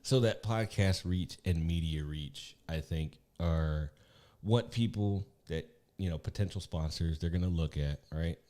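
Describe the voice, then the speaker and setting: Man, deep and mellow, loose chatty co-host style, leans on "again" and "right". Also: measured pace. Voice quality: naturally deep voice. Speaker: podcast co-host. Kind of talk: casual live-stream podcast tips.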